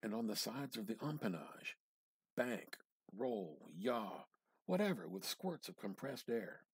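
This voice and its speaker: A man giving a measured, theatrical reading of a literary short story, drawing out words and pausing for effect. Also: on the low side, sing-song, slightly rough, no room sound. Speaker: amateur reader practicing dramatic reading.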